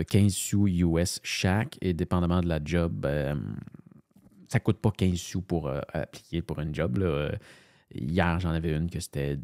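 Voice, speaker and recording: A man with a very low, gravelly voice and a Canadian French accent talks casually, thinking aloud with frequent fillers and repeated starts. The sound is dry and close, with no room around it. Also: a little mellow, dry recording. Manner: rambling, many fillers and restarts, thinking aloud. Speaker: voice-over narrator. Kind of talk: casual screen-share commentary.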